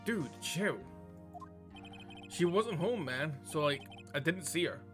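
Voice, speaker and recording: A man's voice: fairly high pitch, animated, takes it slowly, music in the background. A man voicing a laid-back, evasive young male defendant with slack, slangy delivery in a visual novel game. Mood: defensive.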